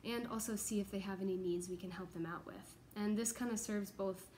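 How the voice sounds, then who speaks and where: A woman's voice: medium pitch, fairly even intonation, fairly quick, a little mellow, a little hiss or hum, poor audio. A young American woman giving an earnest, explanatory, informal video update as a church pastoral associate. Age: young adult. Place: large open indoor office space with exposed ductwork.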